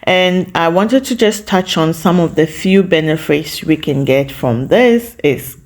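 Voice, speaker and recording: Slow-paced woman vlogger, lowish voice. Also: animated, darkish tone. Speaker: host of a lifestyle vlog. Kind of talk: casual vlog talk to viewers.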